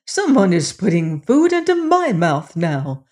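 A woman's voice: mid-range voice, slow pace, lightly acted. A woman voicing a guilt-stricken, fearful wicked queen and stepmother in a storybook, moaning and lamenting in exclamations. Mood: anguished.

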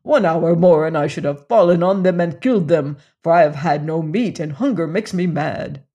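Man doing a dwarf god, fierce, hungry, blustering, loud, high voice. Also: moderate pace, lightly acted. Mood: indignant.

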